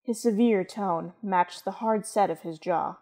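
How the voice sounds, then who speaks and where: Creaky-voiced woman narrating a story. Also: medium pitch, unhurried, warm tone. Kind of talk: scripted literary narration.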